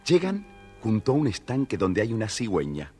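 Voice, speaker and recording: A man's voice: on the low side, wildly swooping pitch, a little fast, a little mellow, faint music behind. A man narrating a scripted children's tale expressively, as the storyteller of a record.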